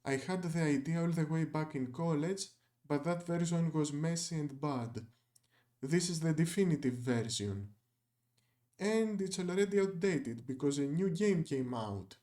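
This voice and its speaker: A man's voice: a little high, measured pace, warm tone. A young man whose first language is Greek, narrating a scripted video essay with dry, wry asides.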